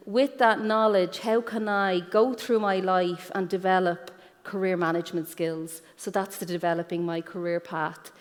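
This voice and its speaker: An Irish woman giving an explanatory conference presentation as a guest speaker, pausing often with ums. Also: medium pitch. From Ireland. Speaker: guest speaker, careers guidance professional. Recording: echoey hall with long reverb.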